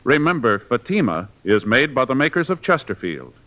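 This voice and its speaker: A man's voice: medium pitch, big pitch swings, moderate pace. A man with an American accent reading formal scripted narration as a 1950s radio announcer. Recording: muffled old radio broadcast.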